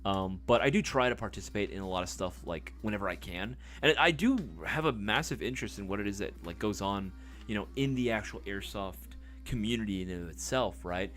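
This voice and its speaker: A man's voice: fairly low pitch, a little fast, a bit bright. A man hosting a live stream in casual chat, reading viewer comments, rambling with many fillers and casual swearing.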